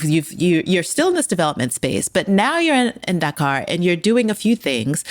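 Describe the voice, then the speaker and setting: A woman's voice: sits low, dry recording. A woman hosting a podcast interview, responding with enthusiastic, affirming remarks and asking questions conversationally. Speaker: podcast host.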